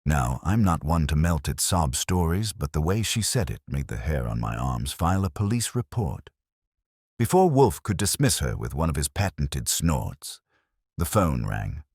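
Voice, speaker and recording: Very deep-voiced man narrating a detective story. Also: sing-song, normal speed, a little mellow, slightly rough, no room sound, clean studio sound. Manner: wry, wisecracking. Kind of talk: first-person hardboiled detective audiobook narration.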